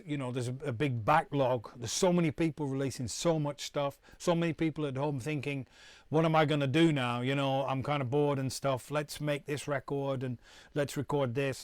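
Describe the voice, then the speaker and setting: A man's voice: medium pitch, darkish tone, dry recording. A middle-aged man from the UK, chatting casually to viewers on a live stream, rambling and restarting often. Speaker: musician hosting a live stream.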